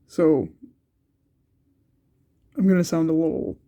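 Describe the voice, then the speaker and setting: A man's voice: a little high, slow pace, warm tone. A young man with a cold, sounding congested, talking through his art sketch in a hesitant way with many ums and restarts. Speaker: art channel creator. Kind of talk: casual art vlog narration while sketching.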